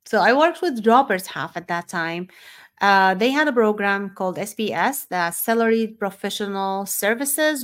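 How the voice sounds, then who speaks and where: A middle-aged woman from Syria with a Syrian Arabic accent, a native Arabic speaker, hosting a podcast in an informal, unscripted personal monologue. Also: mid-range voice. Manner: informal, off-the-cuff. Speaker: podcast host.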